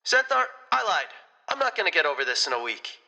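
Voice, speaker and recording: Man playing a shrill, aggrieved, melodramatic guy. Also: big pitch swings, a little fast, vocal fry, bright, forceful tone, highs cut off, exaggerated voice. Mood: wounded, indignant. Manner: whiny, indignant, dramatic complaining. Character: aggrieved, whiny, melodramatic man in a biker crew. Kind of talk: comedic audio drama acting.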